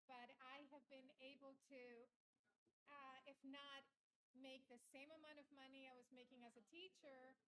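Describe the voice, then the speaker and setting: A woman's voice: sits high, little pitch movement, normal speed, pushed and bright, rough recording. A woman in her fifties from Honduras, a native Spanish speaker, explaining her work in an upbeat casual interview. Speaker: guest.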